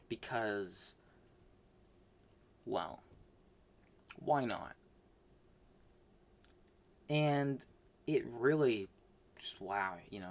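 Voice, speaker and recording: A man casually recapping the manga he read this month, rambling with many fillers and restarts, swinging between loud bursts and soft mumbles. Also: mid-range voice. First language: not Japanese. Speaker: manga reviewer.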